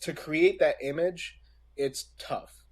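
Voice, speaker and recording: A man co-hosting a casual podcast, speaking in a halting, deliberate way with many pauses mid-sentence. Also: fairly high pitch. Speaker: podcast co-host.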